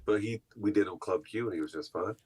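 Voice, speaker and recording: A Midwestern American man with a very deep voice, co-hosting a morning show over a video call from home. He is laid-back and joking, and the sound is dry. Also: fast talker, darkish tone, breathy edge, no room sound. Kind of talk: casual chat.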